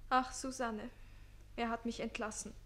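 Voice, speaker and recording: An actress plays a lovesick adolescent page boy in a radio comedy. The voice is bright and fairly high, with impetuous, gushing theatrical delivery full of sighing emotion. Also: level tone, moderate pace, close to an everyday voice. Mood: distraught.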